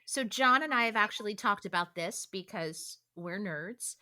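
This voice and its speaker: A woman's voice: mid-range voice, animated, moderate pace, bright, forceful tone. A woman chatting casually in an interview with fellow cast members.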